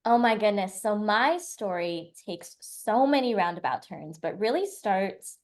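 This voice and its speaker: A woman's voice: mid-range voice, a little slow. A woman in her twenties from the United States, a podcast guest telling her life story in a chatty, run-on way. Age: 20s. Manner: chatty, lively storytelling with long run-on sentences. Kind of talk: casual storytelling interview.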